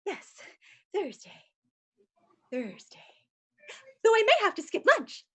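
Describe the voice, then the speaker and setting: A bubbly, theatrical woman therapist who chirps at a very high pitch, as a woman acts her out. Her voice soars and dips constantly, giddy and easily distracted, straight from a comedic stage reading. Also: huge pitch swings, slow pace, a bit bright, exaggerated voice. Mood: eager. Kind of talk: livestream script reading.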